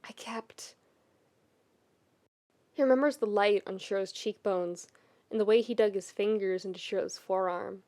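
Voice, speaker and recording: A woman reading fiction as a dramatic, emotive narrator, measured and with deliberate pauses. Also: mid-range voice, a little mellow. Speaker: podfic narrator.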